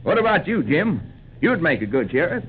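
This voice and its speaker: An actor in a 1940s radio drama playing an excitable, folksy frontier townsman with a forceful manner. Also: fairly high pitch, normal speed. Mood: hearty. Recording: dull, noisy 1940s radio recording.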